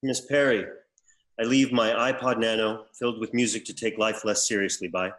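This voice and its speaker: A man playing a pompous, deadpan executor who reads out bequests in a comedy script table read. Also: fairly low pitch, moderate pace, natural voice. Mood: formal, solemn. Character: pompous male executor reading out an eccentric bequest.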